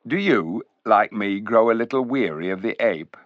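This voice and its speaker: A man voicing a sly male cat who talks in a silky, purring, smoothly cunning way. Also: fairly low pitch, expressive, normal speed, no echo, lightly acted. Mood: scheming. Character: sly, silky-voiced scheming tomcat. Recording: dull, dry recording.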